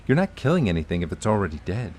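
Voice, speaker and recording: An actor playing an earnest, faithful ally who speaks persuasively in an audio drama. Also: male voice, sits low, big pitch swings, rapid-fire, a little mellow, slight background noise. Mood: earnest, grave.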